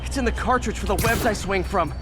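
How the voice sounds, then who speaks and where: Male actor as young American superhero, lightly high pitch, quippy, earnest, self-narrating, video game, clear music behind. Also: fairly quick, lightly acted. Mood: alarmed, hustling. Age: young adult. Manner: chatty, earnest, wry.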